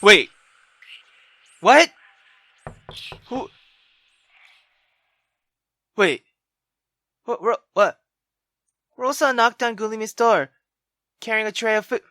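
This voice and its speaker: A woman's voice: fairly high pitch, expressive, takes it slowly, long pauses, bright, forceful tone, dry recording. A woman playing a visual novel, giving casual, sweary reactions and hesitant thoughts aloud between stretches of reading. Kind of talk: casual reactive commentary and read-aloud narration.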